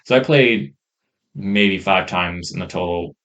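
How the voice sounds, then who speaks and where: Low-voiced man, rambling enthusiastically. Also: a little slow, a bit bright, lo-fi sound. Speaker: podcast co-host. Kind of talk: casual chat, game review. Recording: rough podcast audio from faulty mic.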